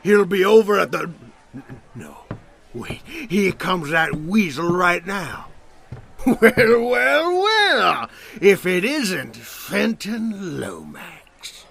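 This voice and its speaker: Guy doing a gravelly angry farmer, slow sarcastic digs, kinda high, cartoony audio drama. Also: sing-song, fairly bright tone, full character voice. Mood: sneering, indignant. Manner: indignant, sarcastic, drawn-out emphasis. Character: irate, accusing potato grower, a grown man. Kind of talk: comic children's audio drama acting.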